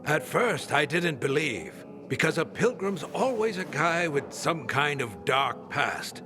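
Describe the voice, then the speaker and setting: Fervent older flatterer, higher voice, very animated and theatrical, game character. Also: male voice, unhurried, music in the background. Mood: fervent, flattering. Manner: theatrical, emphatic. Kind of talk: video game voice acting.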